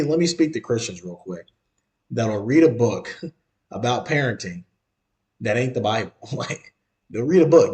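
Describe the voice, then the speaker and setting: A man's voice: mid-range voice, a little mellow. A man in his thirties hosting a podcast, talking in a casual, slangy and emphatic way and often repeating himself. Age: 30s. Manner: conversational, emphatic and repetitive, slangy. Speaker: podcast host. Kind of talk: casual monologue.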